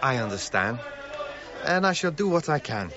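A man's voice: medium pitch, very animated, moderate pace, muffled recording, lightly acted. An actor playing a courteous, engaging Cretan foreman with a Greek accent in a radio drama. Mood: courteous, eager.

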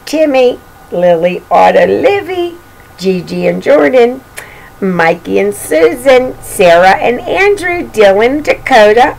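A woman's voice: fairly high pitch, creaky, low-quality recording. An older woman reading a picture book aloud to young children, with chatty, playful, singsong asides. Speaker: children's storybook reader and show host. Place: bedroom at home.

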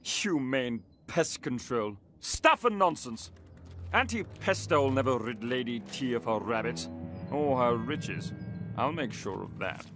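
An actor playing a pompous, scheming aristocratic hunter with an upper-class English accent in an animated game. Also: male voice, medium pitch, a little slow, faint music behind. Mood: plotting. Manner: pompous, sneering, theatrical. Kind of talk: animated game cutscene acting.

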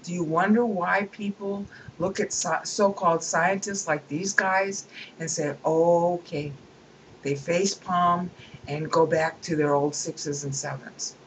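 Lowish woman reading a book out loud and ranting about it, emphatic, a bit of hiss behind. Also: fairly even intonation, measured pace, poor audio. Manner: emphatic, scornful asides. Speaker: reader and commentator. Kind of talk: reading a book aloud with commentary.

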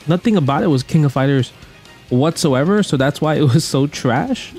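A man's voice: a little high, lively intonation, normal speed, a little mellow. Slangy, emphatic man giving opinions over music. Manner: slangy, emphatic, repetitive phrasing. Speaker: guest commentator ranking movies. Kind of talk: casual opinionated video commentary. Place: indoor room at home.

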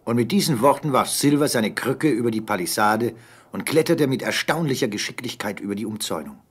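A man as the measured narrator of a German adventure radio play, telling the story with a husky voice. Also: medium pitch, expressive. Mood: measured, narrative.

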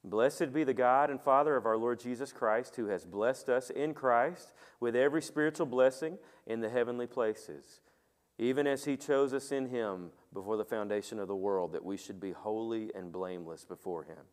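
A middle-aged man preaching a sermon as pastor in a church sanctuary on a headset mic, talking with the congregation and asking them questions, and praying quietly. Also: mid-range voice, moderate pace. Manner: conversational, asks the congregation questions; soft, quiet when praying. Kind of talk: sermon with opening prayer. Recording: long reverb of a church hall.

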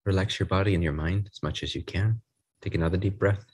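Low husky man guiding a meditation. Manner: soothing, unhurried, short phrases with pauses. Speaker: meditation guide. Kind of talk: guided group meditation.

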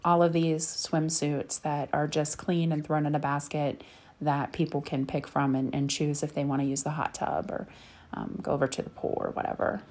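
A woman's voice: deep voice, flat delivery, normal speed, darkish tone. A woman in her thirties chatting casually over her home cleaning video, rambling with lots of ums. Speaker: cleaning vlogger. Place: home bedroom.

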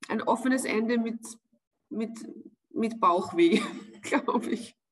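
A woman in her forties with an Austrian German accent, answering interview questions at home. She speaks in a measured, reflective way, often pausing with fillers as she weighs her words. The sound is from a webcam on a video call. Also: on the high side. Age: 40s. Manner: thoughtful, searching for words, frequent fillers. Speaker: actress being interviewed. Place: home room with wood panelling, indoors.

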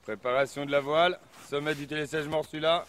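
A man narrating his own paragliding flight for a casual vlog, cheerful and relaxed, filmed outdoors on snowy slopes with an action camera on a pole. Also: fairly high pitch, a little fast, clean tone. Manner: cheerful, relaxed, enthusiastic outbursts. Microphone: action camera on a selfie pole. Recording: faint wind noise on outdoor camera mic.

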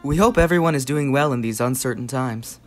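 An actress playing a jokey co-host in scripted comic radio hosting. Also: female voice, rumbling low, a little fast, faint music behind.

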